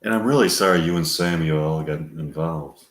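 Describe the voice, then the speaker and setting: A man's voice: rumbling low, normal speed, pitched below the performer's own voice. Man reading as an earnest detective, echoey room. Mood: earnest, apologetic. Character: earnest, apologetic male detective. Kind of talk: movie dialogue reading. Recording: roomy, echoing space.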